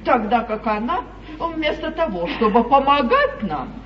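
An actress playing a domineering, shrewd middle-aged duchess at an English royal court in a 1950s stage comedy, declaiming emphatically. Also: female voice, a little high, sing-song, measured pace, recorded from a distance. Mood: indignant, accusing. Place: large theatre stage, from off. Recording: roomy, dull, noisy 1953 theatre stage recording.

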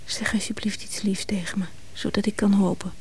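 A woman's voice: mid-range voice, level tone, fairly quick, whispered, close to an everyday voice. An actress playing an anxious, longing woman who speaks hesitantly and pleadingly in a radio drama. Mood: tender, longing. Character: anxious, longing woman clinging to her absent lover. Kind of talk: Dutch radio drama acting.

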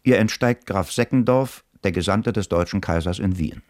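A man narrating a radio drama in a measured, formal way. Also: deep voice, darkish tone, no echo, studio quality. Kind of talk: scripted narration.

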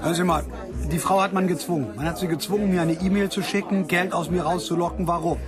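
A man's voice: high-pitched, steady tone, fast, crowd noise, close to an everyday voice. An actor playing a naive, stubborn German man in a radio drama, earnest and hesitant, asking insistently. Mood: earnest, urgent.

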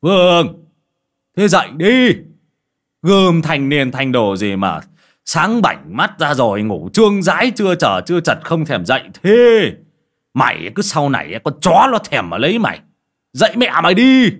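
Low gruff old village dad played by a man, drawling then hollering, lively swings. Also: unhurried, long pauses, heavily acted. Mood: yelling. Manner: drawling with hesitant "à", bursts into loud scolding. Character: gruff rustic old village father, hot-tempered.

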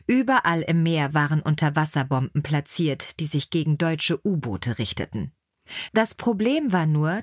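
Woman, scripted story narration, slightly low voice, dry studio-like sound. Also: dry recording. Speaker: audiobook narrator.

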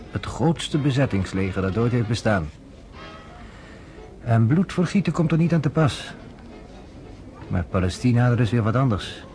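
An actor playing a calm, worldly journalist who talks persuasively with a wry edge, in a radio play. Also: male voice, mid-range voice, close to an everyday voice.

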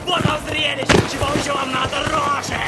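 An actor playing a feverish young man raving in delirium, ranting and shouting in a theatrical audio drama. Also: very high-pitched, level tone, fairly quick. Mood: frenzied rage. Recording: loud music under the voice.

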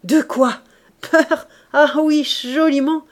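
A woman voicing a fierce, defiant young woman in rags who taunts and shouts down a gang of men. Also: high-pitched, steady tone, slow pace, pitched above the performer's own voice.

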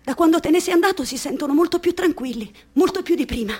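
A woman's voice: very high-pitched, animated, fast. An actress in a radio play playing a worn, bruised young woman who speaks in a subdued, hesitant, tearful way. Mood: resigned.

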